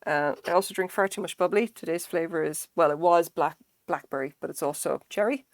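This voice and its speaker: A woman's voice: on the low side, brisk, dry recording. Chatty Irish woman streaming, dry close sound. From Ireland. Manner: chatty, rambling, swears freely, laughs often. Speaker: crochet streamer. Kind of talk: casual live-stream chat.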